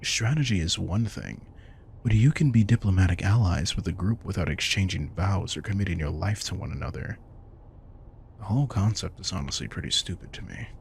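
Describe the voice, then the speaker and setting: A man's voice: deep voice, brisk, vocal fry, slight background noise. A man playing a centuries-old vampire king, wry and protective, talking casually and intimately to the listener in an audio roleplay. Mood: opinionated, wry. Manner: casual, reflective, swearing, addresses listener directly. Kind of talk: intimate scripted audio roleplay, conversational monologue.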